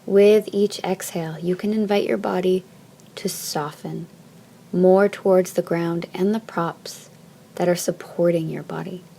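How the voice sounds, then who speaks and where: Soft, mellow woman guiding deep relaxation. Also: fairly even intonation, creaky. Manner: soft, soothing, measured phrasing with long pauses. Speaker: yoga nidra teacher. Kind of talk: guided meditation, slow instruction.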